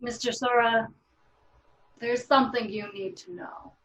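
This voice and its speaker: A woman's voice: fairly high pitch, fairly bright tone. Echoey, formal lawyer woman, played by an actress. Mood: composed, formal. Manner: formal, measured, deliberate. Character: Madeline, composed 55-year-old female small-town lawyer. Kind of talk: table read of TV drama script.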